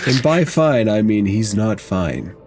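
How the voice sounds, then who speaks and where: A man's voice: lowish voice, very animated, slow, darkish tone, soft background music. A man narrating a tabletop game casually as game master.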